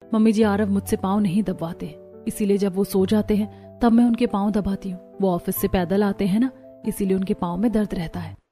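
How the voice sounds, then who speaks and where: A woman playing a gentle, repentant and devoted daughter-in-law in a family cartoon, humble and pleading. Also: mid-range voice, fairly even intonation, a little fast, faint music behind, natural voice.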